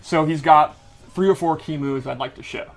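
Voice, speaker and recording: Man, fairly high voice, enthusiastic game developer, casual explanatory gameplay commentary. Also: normal speed, soft background music. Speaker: game developer demonstrating a fighting game character.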